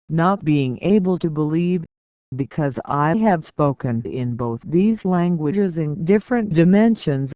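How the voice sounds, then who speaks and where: An audiobook reader giving a scripted narration, reading in a measured, deliberate way with pauses between phrases. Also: medium pitch, a little mellow, clean tone, dry recording, lo-fi sound.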